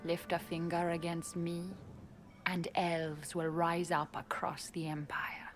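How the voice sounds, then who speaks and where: A defiant elven rebel woman with a slightly low voice. She is cool, cunning and sardonic, as heard in a fantasy game, with soft music underneath. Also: flat delivery, slow pace. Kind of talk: fantasy video game acting.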